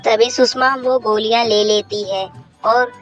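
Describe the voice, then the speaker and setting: Woman, story narrator, scripted children's tale, raised pitch, light background music. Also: fairly even intonation, a little slow.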